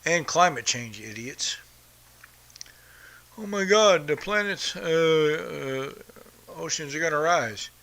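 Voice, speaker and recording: A man from the United States hosts his own podcast, delivering a blunt, profane political rant. His voice sits a bit high and sounds bright and forceful. He talks in a combative way that never lets up. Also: slow pace, gravelly, long pauses. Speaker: podcast host. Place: home in Brazil.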